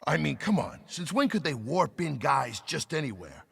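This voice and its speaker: Griping male space soldier for a game: casual, incredulous, gravelly, very lively pitch. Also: moderate pace, exaggerated voice. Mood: exasperated, incredulous. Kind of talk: naturalistic game dialogue acting. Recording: long reverb of a large room.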